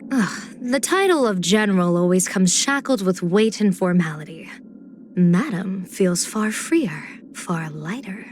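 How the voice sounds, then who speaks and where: A woman's voice: mid-range voice, lively intonation, a little slow, a little mellow, lightly acted. Game role: actress as playful female general-diviner, medium register, assured and teasing, theatrical delivery, light background noise. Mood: playful, musing. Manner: teasing, theatrical, poised phrasing. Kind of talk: video game character voice lines.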